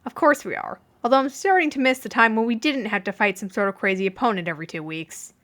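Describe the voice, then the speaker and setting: A woman voicing a composed, deadpan mafioso man in a fan fiction reading, dry and matter-of-fact. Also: fairly high pitch, fast, lightly acted. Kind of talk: fan fiction audiobook character voice.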